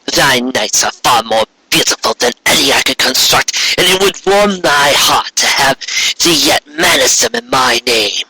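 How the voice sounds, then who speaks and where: A man's voice: medium pitch, expressive, measured pace, sharp tone, rough recording. A man voicing an imperious, self-righteous elder female alicorn queen in a fantasy story, speaking in grandiose, haughty archaic phrases. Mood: pleading, proud.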